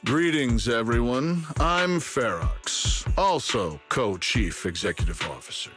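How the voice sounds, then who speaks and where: An echoing male voice of a proud company chief. His formal game speech is ceremonious and emphatic, with lively intonation and music behind. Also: mid-range voice, slow.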